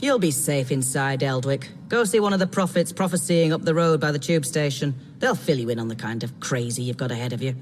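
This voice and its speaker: An actor playing a world-weary London police inspector with a British accent, gruff, wry and guarded, in a video game. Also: male voice, mid-range voice, brisk, few pauses, big-room sound, a little hiss or hum, lightly acted. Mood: stern, wry. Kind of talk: scripted video game cutscene acting.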